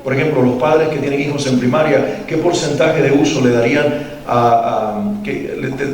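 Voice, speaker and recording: A man's voice: medium pitch, steady tone, moderate pace, a little mellow. A man from Cuba with a Cuban Spanish accent, giving an earnest, reflective talk to parents and asking them questions. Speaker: parenting workshop speaker. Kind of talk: talk to a live parent audience. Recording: echoey hall, mic at a distance.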